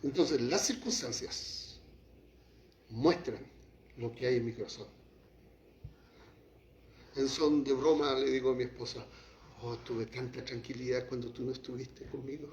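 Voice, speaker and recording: A man preaching a sermon as pastor, shifting from quiet, low passages to raised, heated lines. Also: fairly even intonation, a little slow, long pauses, slight background noise. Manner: impassioned preaching, drops to quiet, then rises to acted outbursts. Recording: echoey hall, muffled lo-fi sound.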